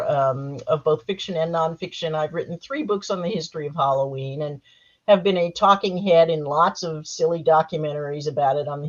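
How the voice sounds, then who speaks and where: A woman, an author, speaking informally on a panel in dull, lo-fi audio. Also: low-pitched. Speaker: author and Halloween historian, panelist.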